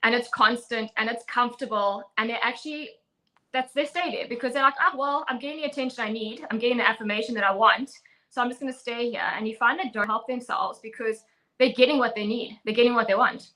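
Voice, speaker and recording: Earnest bright young woman from Zimbabwe. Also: fairly high pitch, fast talker, poor audio. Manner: earnest, firm, open. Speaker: fitness coach and guest. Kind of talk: personal story in an interview.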